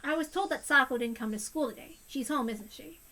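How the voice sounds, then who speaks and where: A woman's voice: high voice, quick pace, pushed and bright, full character voice. A woman voicing a courteous but insistent child welfare official on the phone. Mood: polite firm.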